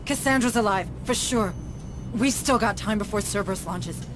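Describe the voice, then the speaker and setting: A woman's voice: medium pitch, normal speed, lightly acted. An actress playing a resolute, principled woman in command of a sci-fi rescue mission in a video game. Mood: earnest, resolute. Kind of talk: cinematic video game acting. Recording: loud music under dialogue.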